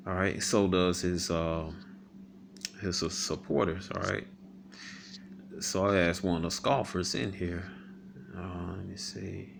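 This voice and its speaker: Deep-voiced halting male commentator. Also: gravelly, a bit bright, slight background noise. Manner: halting, many ums and repeated "all right?" tags; reads viewer comments aloud and rebuts them. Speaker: commentator reacting to a video. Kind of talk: casual spoken commentary.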